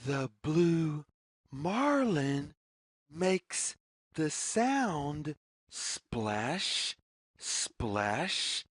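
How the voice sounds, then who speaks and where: A woman reads a children's story aloud at a very slow pace. Her slightly low voice swings widely up and down in a singsong, repeating pattern. The sound is dry and close, as in a read-along for small children. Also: big pitch swings, slightly rough, no room sound. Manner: deliberate, singsong, repetitive phrasing with questions to the listener. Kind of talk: read-aloud picture book for young children.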